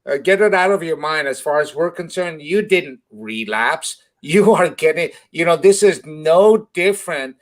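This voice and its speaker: A man with a somewhat high voice speaks as a doctor and show host. His advice is informal and blunt but encouraging, delivered with some halting. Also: expressive.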